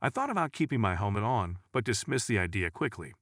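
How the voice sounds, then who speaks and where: Low-pitched man narrating, lively intonation. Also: fast talker, dry recording, clean studio sound. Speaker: narrator of scary stories. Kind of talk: scripted first-person horror story narration.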